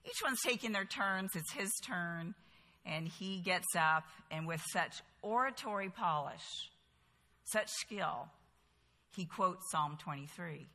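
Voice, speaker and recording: A woman's voice: fairly low pitch, pushed and bright. A woman in her fifties with a light Southern accent, teaching a women's Bible study in a chatty, conversational way with humorous asides. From Bahamas, later North Carolina. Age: 50s. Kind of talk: casual talk to a group, then spoken prayer and storytelling. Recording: echoey hall.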